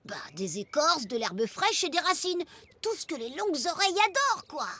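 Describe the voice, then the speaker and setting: A woman's voice: high voice, sing-song, normal speed, bright, forceful tone, heavily acted. A woman playing a brave, proud prehistoric boy hunter in a cartoon, with an exclamatory, boastful way of talking. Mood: proud, resolute. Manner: exclamatory, boastful, uses quaint caveman oaths. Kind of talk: animated cartoon dialogue. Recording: prominent music under the dialogue.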